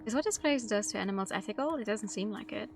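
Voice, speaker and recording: A woman's voice: mid-range voice, expressive, fast talker, gravelly. A woman streaming a game, reading its text aloud and adding casual, playful, musing commentary. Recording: light music behind.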